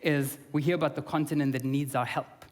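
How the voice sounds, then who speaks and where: A young man from Austria gives an earnest conference talk, his voice sitting slightly high for a man. He fills pauses with ums and restarts his phrases often. His voice carries a long reverb from a large conference hall. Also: fairly even intonation. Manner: earnest, frequent fillers and restarts. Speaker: conference speaker.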